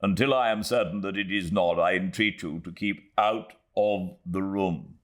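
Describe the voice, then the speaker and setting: A man's voice: low voice, normal speed, lightly acted. A British man voicing a grave, courteous Victorian country doctor in an audiobook, speaking in an earnest, formal, hesitant way. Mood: earnest, apologetic.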